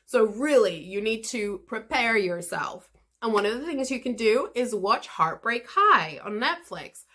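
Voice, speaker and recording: A woman hosting an English-learning podcast, giving chatty advice straight to the listener in a lively, expressive way. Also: medium pitch. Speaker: English teacher and podcast host.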